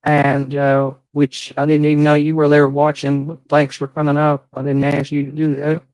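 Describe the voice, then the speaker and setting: A man's voice: a little high, monotone, moderate pace, darkish tone, dry recording, poor audio. Aggrieved man with a dry, close sound. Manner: profane, aggrieved, defensive. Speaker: livestream host. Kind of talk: casual livestream chat.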